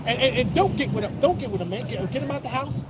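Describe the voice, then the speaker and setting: Man, very high lively voice, quick and stammering, heavy wind noise. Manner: animated, stammers and repeats "man" constantly. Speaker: discussion participant. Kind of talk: casual group discussion. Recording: loud wind noise outdoors.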